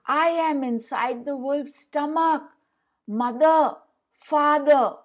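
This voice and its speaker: A woman's voice: sits high, slow pace, pitched above the performer's own voice. A woman storyteller playing a tiny, confident boy in a fairy tale, earnest and calling out. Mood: crying out. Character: tiny thumb-sized boy, confident and pleading. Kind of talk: storybook character acting.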